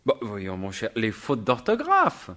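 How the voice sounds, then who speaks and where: An actor playing a wry, self-mocking gentleman burglar in a theatrical, colloquial 1950s French radio drama style. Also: fairly low pitch, wildly swooping pitch, unhurried, exaggerated voice. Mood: smug, amused. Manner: theatrical, talks to himself, colloquial.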